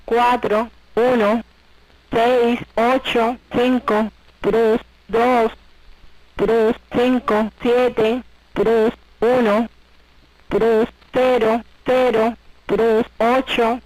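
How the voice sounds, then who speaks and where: Very flat, slow woman announcer on radio. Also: a little high, no room sound, poor audio. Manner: mechanical, evenly spaced delivery. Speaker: numbers station announcer. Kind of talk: monotone reading of digits one by one. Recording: shortwave radio broadcast recording.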